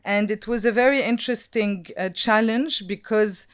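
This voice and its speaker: A woman in her thirties from Lebanon, whose first language is Arabic, speaks at a design talk. Her voice is smooth and clear, and her pitch sits in the middle. She searches for words with many pauses and fillers. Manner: thoughtful, halting, many fillers and restarts. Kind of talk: conference talk.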